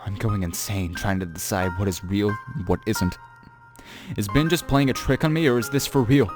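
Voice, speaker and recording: A man's voice: lowish voice, normal speed, natural voice. A man playing a paranoid, frightened young man in a creepypasta dramatic reading, telling his diary with anguished drama. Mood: spiralling paranoia. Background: music.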